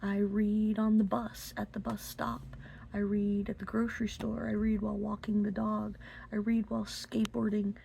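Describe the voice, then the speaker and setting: A woman hosting an unscripted book talk, speaking in a halting way with pauses mid-phrase. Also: mid-range voice, monotone, vocal fry, warm tone, slight background noise, low-quality recording. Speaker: book review host.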